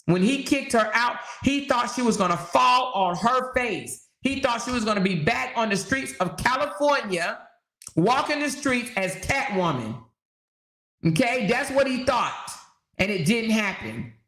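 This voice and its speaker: A woman's voice: on the high side, lively intonation, unhurried, a bit bright, echoey room. A woman hosting a casual livestream, reading viewer comments and commenting on them emphatically, repeating her points and tossing in rhetorical questions. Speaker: livestream host.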